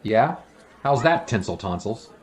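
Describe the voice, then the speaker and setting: A man playing a wry, earnest 1920s sportswriter in an audio drama, with a dry, measured delivery. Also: mid-range voice, sing-song. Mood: dry, unruffled. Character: wry, earnest 1920s male sportswriter narrating his memoirs. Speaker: narrator and lead character. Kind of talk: audio drama acting, lyrical narration.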